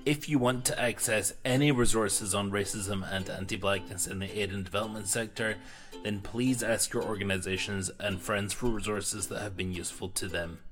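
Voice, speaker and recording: A man's voice: lowish voice, steady tone, moderate pace, fairly bright tone, faint music behind. A man hosting a podcast, thanking his guests and reading the closing sign-off in an upbeat way. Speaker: podcast host. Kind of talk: podcast outro.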